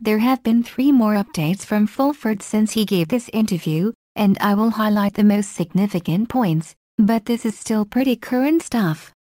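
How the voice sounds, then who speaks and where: A woman reading a blog article aloud in a steady, even manner. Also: mid-range voice, moderate pace, hardly any pauses, no room sound, clean studio sound.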